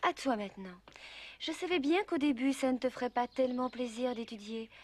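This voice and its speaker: A woman's voice: on the high side, a little fast, lightly acted. A woman voicing a kind but firm, motherly fairy in a dubbed cartoon, patient and instructive. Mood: encouraging.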